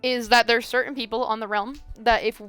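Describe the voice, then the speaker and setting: A woman's voice: a little high, flat delivery, brisk, a bit bright, clear, resonant tone, faint music behind. A woman hosting a casual chat while she builds in a game. She rambles, with many fillers and restarts. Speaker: host.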